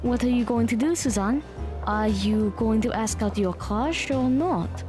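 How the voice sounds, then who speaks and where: A teasing, encouraging teenage schoolgirl voiced by a woman in an audio drama. She talks slowly and playfully in a very high, animated voice, with music clearly behind her. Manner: teasing, coaxing.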